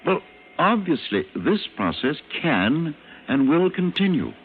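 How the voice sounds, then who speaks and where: A man playing a calm, condescending doctor of a superior evolved race, lecturing deliberately in an old-time radio drama. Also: on the high side. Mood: lofty lecturing. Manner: lofty, didactic, deliberate. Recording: noisy, narrow-band old radio recording.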